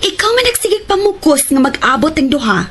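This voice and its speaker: A woman's voice: high-pitched, big pitch swings, a little fast, noisy background. A woman playing a coy, playfully teasing sweetheart in a radio drama.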